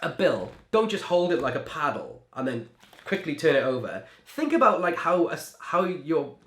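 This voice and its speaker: A man's voice: a little high, big pitch swings, normal speed, fairly bright tone. Chatty young man from Wales. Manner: chatty, lots of "like" and restarts. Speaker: magician and creator. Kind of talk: interview answers. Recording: echoey room.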